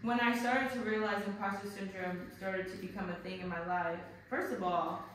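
Animated woman panelist in an echoey hall. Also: mid-range voice, moderate pace. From Connecticut, US. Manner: lively storytelling, animated and humorous. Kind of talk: panel discussion. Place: art gallery. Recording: echoey gallery from far off with noise.

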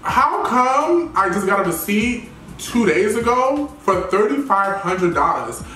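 A young man in his twenties telling a storytime vlog with animated, expressive delivery and sassy asides, filmed in a room by a camera a little way off. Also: sits high, measured pace, faint music behind. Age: 20s. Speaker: YouTuber. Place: indoor room with plain wall and plant. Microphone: camera at a distance, chest-up framing. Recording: roomy, somewhat distant sound.

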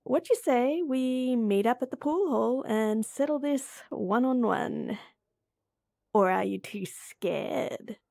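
A woman's voice: a little high, lively intonation, takes it slowly, gravelly, a little mellow, exaggerated voice. A woman voicing a young woman who teases her childhood friend while hiding a crush, switching between whispered inner thoughts and playful banter in an audio roleplay. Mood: playful teasing. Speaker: voice actress.

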